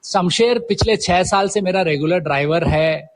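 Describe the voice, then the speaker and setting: Middle-aged man with a creaky, higher-set voice. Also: moderate pace. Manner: hesitant, searching for words. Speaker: guest, writer. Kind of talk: conversational interview answers, Hindi mixed with English words.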